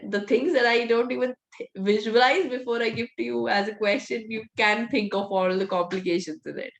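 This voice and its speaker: A woman's voice: on the high side, steady tone, brisk, creaky. A woman trainer, teaching a live coding class with her voice almost gone, direct and coaching. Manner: direct, coaching, laughs often. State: voice nearly gone, hoarse. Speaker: VBA trainer. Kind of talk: live online class, teaching and answering questions.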